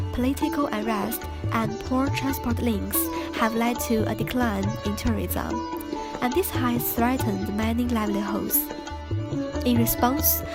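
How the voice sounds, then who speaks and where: Higher-voiced woman narrating a documentary. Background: sitar and tabla music.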